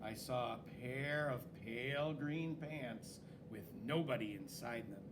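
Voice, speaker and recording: A man reading a children's story aloud in an expressive, dramatic way, with swooping emphasis. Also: mid-range voice, a little slow, background noise. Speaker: story reader.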